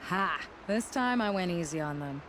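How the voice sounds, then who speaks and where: An actress playing a stern, swaggering warden in a video game, boastful and commanding. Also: female voice, fairly low pitch, lively intonation, moderate pace, noisy background, natural voice. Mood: proud, wry. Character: stern, swaggering female prison warden warrior. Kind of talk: video game drama acting. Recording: clear outdoor game ambience behind voice.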